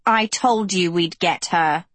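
A woman's voice: fairly low pitch, fairly even intonation, measured pace, dry recording. A woman voicing a sour, sneering teenage villain girl in a storybook, with a cutting, contemptuous manner. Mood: sour contempt.

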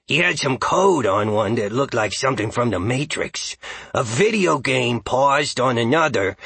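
Man voicing an excitable, bright, raspy talking dog. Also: medium pitch, dry recording, exaggerated voice. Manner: chatty, goofy, exuberant. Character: excitable, playful male dog narrating his adventures. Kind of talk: first-person audiobook narration.

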